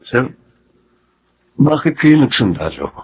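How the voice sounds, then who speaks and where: An actor playing a troubled, remorseful Filipino soldier in a radio drama, husky and heavy-hearted. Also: male voice, mid-range voice, big pitch swings, slow, highs cut off, slight background noise.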